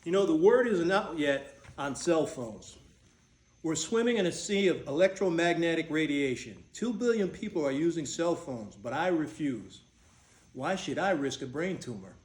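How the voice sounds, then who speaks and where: A man playing an easygoing older groom in a staged reading, plainspoken with dry humour and gently stubborn. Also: a little high, expressive, moderate pace, natural voice. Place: indoor room, staged reading. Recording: echoey room.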